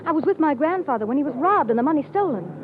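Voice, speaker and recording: A woman's voice: sits high, lively intonation, very fast. An actress playing an eager, insistent young woman witness in a 1940s radio drama. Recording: muffled old radio broadcast with faint hiss.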